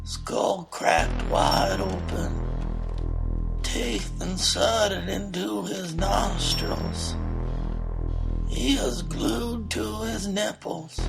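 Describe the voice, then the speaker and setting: A man acting a high, creaky, morbid storyteller. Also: full character voice. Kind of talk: audio drama monologue. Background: music.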